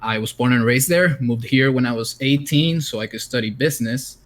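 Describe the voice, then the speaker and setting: A man's voice: mid-range voice, moderate pace. A young man from Venezuela, a game design student speaking hesitantly as a stream guest. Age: young adult.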